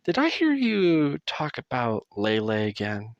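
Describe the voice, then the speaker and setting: Man acting as a soft-spoken, menacing asylum doctor, slow and gravelly. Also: medium pitch, huge pitch swings, no echo, lo-fi sound. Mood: polite menace. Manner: polite, measured, veiled threats. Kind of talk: audio roleplay acting.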